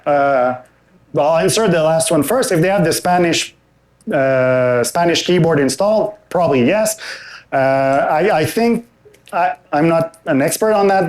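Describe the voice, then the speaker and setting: A man answering audience questions after his conference talk, his voice sitting a little high for a man. He is relaxed and often pauses on "uh" or restarts a phrase while he thinks. The hall around him adds a clear echo. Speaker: conference presenter. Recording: roomy hall sound.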